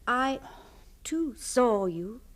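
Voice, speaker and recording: An actress playing a tender, earnest, devoted gentlewoman in a literary radio drama, with theatrical delivery. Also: a little high, expressive, drawn-out words, recorded from a distance. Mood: earnest, insistent. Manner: hushed to impassioned, theatrical delivery.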